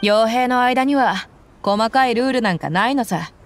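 An actress playing a brash, easygoing young mercenary woman in a video game, with rough, self-assured speech. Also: fairly high pitch, normal speed, lightly acted. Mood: casual, teasing. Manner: blunt, tomboyish rough speech.

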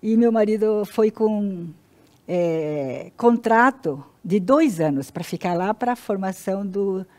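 Interview guest, woman, 60s, Brazilian Portuguese, mellow mid-pitched voice, halting and sincere personal storytelling. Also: animated. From Paraná, Brazil. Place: indoor TV-style studio.

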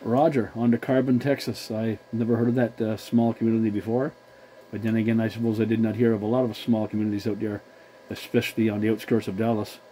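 A man chatting as a CB radio operator, courteous and measured, using formal call-sign procedure. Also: fairly low pitch, flat delivery, dark tone, slight background noise, rough recording. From Newfoundland, Canada.

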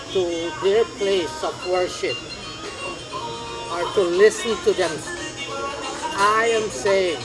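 An older man at an indoor market, speaking earnestly and preachily about spiritual truth. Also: sits high. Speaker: market visitor. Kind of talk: casual debate. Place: large indoor flea market hall. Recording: busy crowded hall behind.

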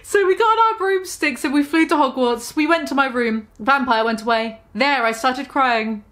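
A woman's voice: sits high, normal speed, a bit bright. A woman in her twenties giving a theatrical, mocking dramatic reading of fan fiction in a small room.

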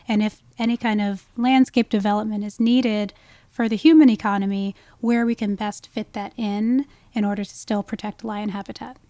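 A woman's voice: medium pitch, steady tone, normal speed, dark tone, no echo. A woman speaking as a guest expert in a conversational interview, explaining with enthusiasm. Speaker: guest expert, wildlife ecologist.

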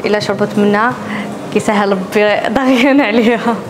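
A woman's voice: mid-range voice, fairly even intonation, brisk, background noise. A young woman from Morocco with a Moroccan Arabic accent, bubbly and laughing as she guides viewers through a travel vlog. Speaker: travel vlogger.

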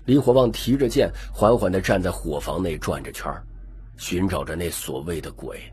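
A man's voice: sits low, moderate pace, darkish tone, breathy edge. A man narrating a scripted, dramatic audiobook story.

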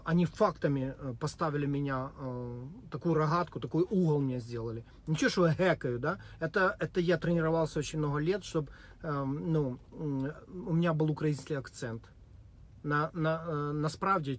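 A man giving a deadpan, mock-serious spoken monologue with many hesitations and fillers. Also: fairly high pitch. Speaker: vlogger.

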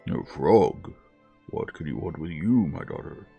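A man voicing a stern, fatherly fairy-tale king with a loud, emphatic and deliberate delivery. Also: very deep voice, animated, vocal fry, husky, faint music behind, exaggerated voice. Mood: concerned.

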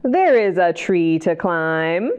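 A woman's voice: lowish voice, big pitch swings, slow pace, a little mellow, highs cut off, a little hiss or hum. A middle-aged woman hosting a children's storytime, reading a picture book aloud expressively, with exclamations and questions to her young listeners. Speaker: children's librarian, storytime host. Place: indoor library children's room. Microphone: clip-on lapel microphone.

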